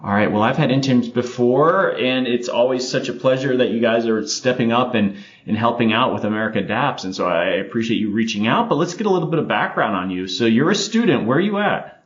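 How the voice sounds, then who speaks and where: A man's voice: medium pitch, animated, fairly quick, hardly any pauses, muffled recording. A man hosting a podcast interview, upbeat and chatty, often saying "all right" between questions. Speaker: podcast host. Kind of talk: casual interview chat.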